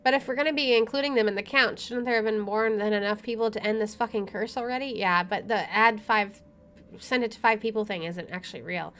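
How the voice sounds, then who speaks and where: A woman's voice: medium pitch, fairly quick, a bit bright. A woman playing through a game, reading its narration aloud with wry, sweary casual commentary. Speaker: let's player.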